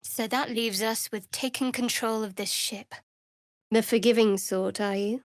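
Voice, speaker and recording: A woman playing a guarded young half-elf cleric in a fantasy video game, with a dry, sardonic, measured delivery. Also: a little high, fairly even intonation, no echo. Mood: guarded, observant.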